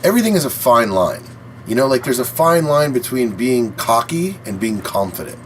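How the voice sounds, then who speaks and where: A man's voice: mid-range voice, sing-song, moderate pace, a little hiss or hum. A man co-hosting a podcast, rambling loosely through a casual chat and swearing freely. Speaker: podcast co-host.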